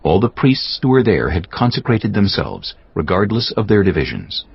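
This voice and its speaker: A man narrating scripture from a script in a measured, formal manner. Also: on the low side, sing-song, breathy edge. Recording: slightly dull audio with music bed.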